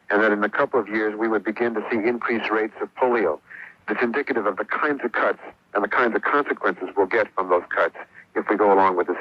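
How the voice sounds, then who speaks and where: A man's voice: fairly low pitch, no room sound, highs cut off. A man giving spoken expert testimony, heard in an old radio news clip. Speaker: public health expert.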